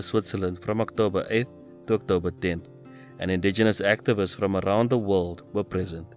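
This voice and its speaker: A man reading scripted documentary narration in a measured, even way. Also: fairly low pitch.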